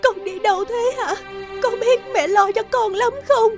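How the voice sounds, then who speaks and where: Very high, distraught mother. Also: measured pace. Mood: anxious. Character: distraught, careworn mother, scolding and anxious. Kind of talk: cartoon voice acting. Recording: music bed behind the voice.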